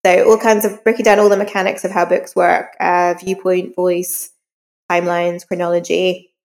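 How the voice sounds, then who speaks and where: A woman's voice: lowish voice, level tone, moderate pace, a little mellow. A woman giving informal interview answers as a guest author, thinking aloud as she talks. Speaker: crime novelist, podcast guest. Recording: reverberant hall-like echo.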